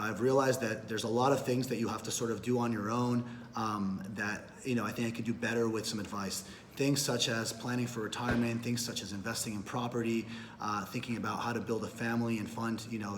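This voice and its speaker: A man's voice: medium pitch, a little hiss or hum. A man in his thirties from Peru, an entrepreneur giving a prepared self-introduction in a businesslike way, with occasional fillers and self-corrections. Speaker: camp applicant, entrepreneur.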